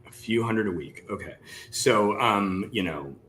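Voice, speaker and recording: Male host, lowish voice, relaxed wording, conversational interview style; noisy recording. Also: unhurried. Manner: loose, informal, hedging questions. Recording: clearly audible background noise.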